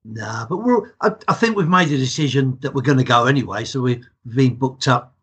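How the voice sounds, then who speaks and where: Retired English man in his sixties, slightly husky, telling his stories casually and plainly. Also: mid-range voice, a little fast. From England. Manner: chatty storyteller, relaxed and matter-of-fact. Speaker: podcast guest. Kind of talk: casual interview chat.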